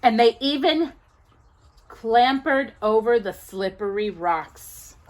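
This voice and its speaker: Animated, high-voiced woman reading a storybook. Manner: animated, drawn-out delivery with playful asides to a child. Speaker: storytime host reading a picture book aloud. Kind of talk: expressive children's storybook reading.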